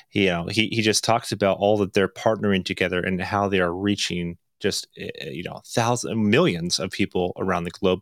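A man with a notably low, deep voice hosts a faith podcast, speaking earnestly and with an upbeat tone. He fills his sentences with "you know" and "um". His voice sounds dry and close, with no room echo. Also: fairly bright tone. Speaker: podcast host. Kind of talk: podcast interview hosting.

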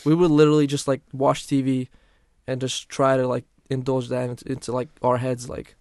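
A man's voice: medium pitch, flat delivery, a little fast, darkish tone, no echo. A young man telling his story in a casual podcast chat, earnest and full of restarts. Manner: earnest, many restarts and "literally". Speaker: guest.

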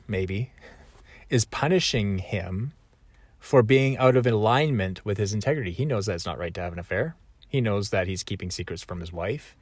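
A man's voice: on the low side, dry recording. A man talking reflectively in a conversational, self-correcting way. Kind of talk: reflective solo talk.

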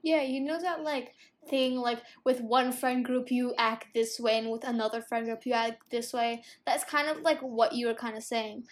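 A woman's voice: a little high, fairly even intonation, moderate pace. A woman raised in Singapore, co-hosting a casual discussion podcast and talking hesitantly, with many fillers and restarts. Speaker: podcast co-host.

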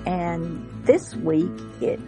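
A woman hosts in a low, slow voice, chatting informally and a little haltingly. Plucked guitar music plays clearly behind her. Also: expressive, rough recording. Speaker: radio show host. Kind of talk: casual chat.

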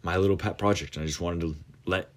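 Podcast host, late teens, male, very low voice, loose rambling delivery full of ums. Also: steady tone, fast, noisy background. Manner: rambling, many fillers and restarts. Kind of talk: casual monologue.

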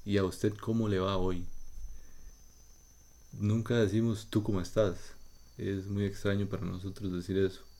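Costa Rican male podcaster, low mellow voice with gravel, patient and measured teaching delivery. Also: flat delivery. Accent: Costa Rican Spanish. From Costa Rica. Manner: measured, patient, thinking aloud in short phrases. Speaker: podcast host. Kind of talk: slow explanatory monologue for learners.

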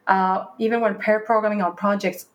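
A woman's voice: mid-range voice, little pitch movement, a little fast, muffled recording. A young woman from Sweden, a startup founder, answering interview questions in a chatty way, often restarting her words and acting out past conversations. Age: young adult.

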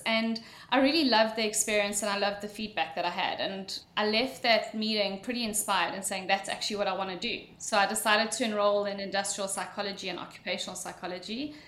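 A woman from Mauritius with a South African accent, telling her career story as a podcast guest in a relaxed, storytelling way. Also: mid-range voice. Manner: relaxed storytelling, frequent ums. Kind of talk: conversational interview answer. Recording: echoey room.

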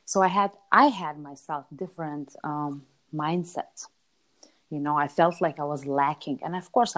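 Female interview guest, deep register, Lithuanian accent, informal and conversational, emphatic repetition. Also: rough recording. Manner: informal, repeats words for emphasis, seeks agreement with tags. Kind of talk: casual conversational interview answers.

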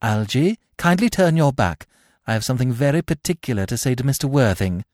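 A man's voice: medium pitch, expressive, brisk, a little mellow, no room sound, studio quality, lightly acted. A man voicing an imperious, gushing young society lady with a grand, affected manner in a storybook reading.

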